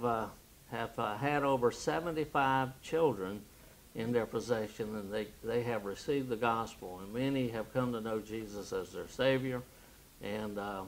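A man in his sixties, a missionary, giving a halting project update full of "uh" pauses. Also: medium pitch, rough recording. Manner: halting, many "uh" fillers. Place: studio set with wooden wall backdrop.

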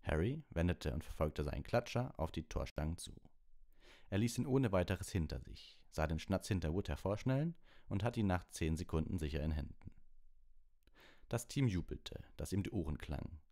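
Guy reading an audiobook story, lowish voice, really animated intonation. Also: moderate pace. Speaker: audiobook narrator. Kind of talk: expressive scripted story narration.

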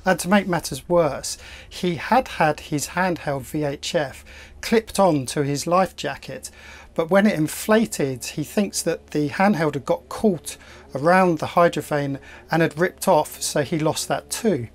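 A middle-aged man co-hosting a sailing channel, narrating and explaining the story in a measured way. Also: a little high. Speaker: channel co-host. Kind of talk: narration and commentary.

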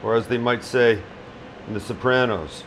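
A man's voice: medium pitch, normal speed, fairly bright tone, slight background noise, low-quality recording. A middle-aged man hosting a casual cooking demonstration in a small restaurant kitchen, joking bluntly and swearing freely. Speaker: chef and cooking show host.

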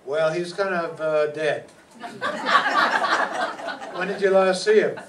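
A man's voice: high-pitched, huge pitch swings, very slow, full character voice. An older man playing a wisecracking, breezy, tough private detective in old-time radio style. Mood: probing, wry. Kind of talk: 1940s radio acting, with narration. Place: meeting room stage before an audience. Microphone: stand microphone, filmed from far back. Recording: distant room mic, echoey, noisy.

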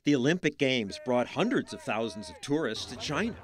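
Wry male news narrator, animated delivery. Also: mid-range voice, a little fast. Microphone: studio voice-over.

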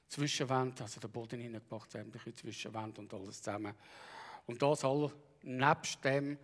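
A middle-aged or older man with a Bernese Swiss German accent, giving a halting personal account on stage and often clearing his throat. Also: medium pitch. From Bernese Oberland, Switzerland. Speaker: practitioner giving a talk.

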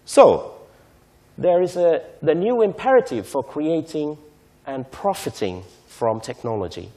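A man's voice: a little high, sing-song, a little slow, mellow. A man in his thirties, originally from Sri Lanka, giving a conference lecture at a podium mic in a large hall, stressing key words and often asking "right?". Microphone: podium microphone.

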